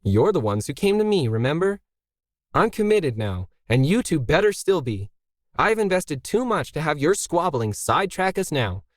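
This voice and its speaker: Man voicing a brisk, high-pitched scolding older woman. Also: very animated, no echo, studio quality. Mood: impatient, stern. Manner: chiding, impatient, bossy. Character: brisk, scolding fifty-something woman, launch facility owner.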